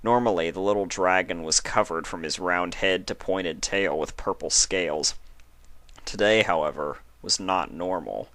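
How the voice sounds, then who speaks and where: Low-voiced man reading a story. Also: normal speed, a bit bright, dry recording. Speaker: story reader. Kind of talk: scripted storybook narration of fan fiction.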